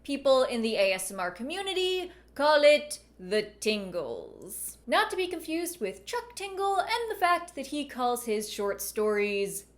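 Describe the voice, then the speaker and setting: A woman giving conversational video commentary in a wry, deadpan manner. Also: sits high, unhurried, hardly any pauses. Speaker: video essayist and host.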